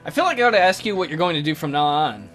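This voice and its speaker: A man voicing an awkward, apologetic male schoolteacher from a visual novel in a hesitant, halting way. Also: fairly high pitch, expressive, a bit bright. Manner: hesitant, halting, casually read. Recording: music behind the voice.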